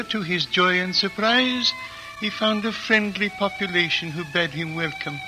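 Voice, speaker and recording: An ancient, frail High Lama. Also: male voice, poor audio. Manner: slow, grave, measured storytelling. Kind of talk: 1940s radio drama acting. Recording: old radio broadcast with music.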